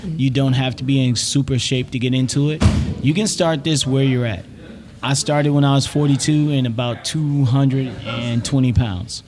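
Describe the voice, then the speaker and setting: A man's voice: mid-range voice, fairly even intonation, moderate pace, a little mellow. A middle-aged man hosting a podcast, encouraging and upbeat, often checking in with "all right?". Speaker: podcast host, jiu-jitsu coach. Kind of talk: casual podcast chat. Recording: noisy room with crowd chatter.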